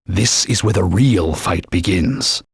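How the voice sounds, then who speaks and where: A man's voice: sits low, takes it slowly, creaky, slightly rough, no room sound, studio quality, exaggerated voice. An actor voicing a stern, haughty talking sword in a video game, giving combat orders and scolding his partner as a fool. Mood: warning. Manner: commanding, gives combat tips.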